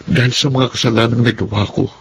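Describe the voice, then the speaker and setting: A man with a Filipino accent playing a contrite wrongdoer who pleads for forgiveness in a radio drama, his voice gravelly. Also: medium pitch, steady tone, fast, a little hiss or hum, lightly acted, poor audio. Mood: humble, insistent. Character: remorseful man begging forgiveness from the woman he wronged.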